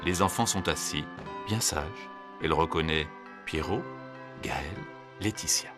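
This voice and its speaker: A man narrating a children's cartoon tale in an expressive storybook manner. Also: a bass voice, unhurried, music in the background.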